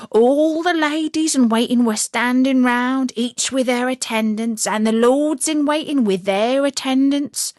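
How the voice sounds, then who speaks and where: A woman narrating a scripted fairy tale as a storyteller, in an expressive, measured storybook manner. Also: high-pitched, no room sound, studio quality.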